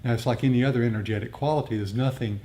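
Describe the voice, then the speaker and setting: A man with a soft, mellow voice gives a reflective dharma talk. He explains things haltingly, pausing to find his words as he answers a group. A low rumbling noise sits behind him. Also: fairly even intonation. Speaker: meditation teacher. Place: group meditation gathering.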